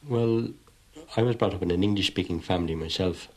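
Dad, really deep husky voice, reflective, some uhs. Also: male voice, a little fast. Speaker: father interviewed about raising bilingual children. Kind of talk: radio documentary interview.

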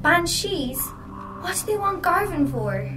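A woman's voice: very high voice, big pitch swings, unhurried, music in the background, full character voice. A woman voicing a timid, anxious little girl in an audiobook, a pleading younger sister. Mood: anxious, frightened. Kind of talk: audiobook character acting.

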